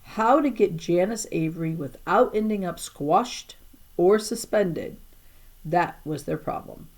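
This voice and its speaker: A woman, a teacher reading aloud, giving expressive storybook narration for children. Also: lowish voice, measured pace.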